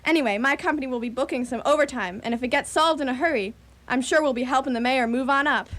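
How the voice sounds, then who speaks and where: A woman's voice: high voice, fast, fairly bright tone. A woman playing a gushing, gossipy grown daughter in a radio play, chatty and dramatic. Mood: gossipy, excited. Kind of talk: community radio theatre acting.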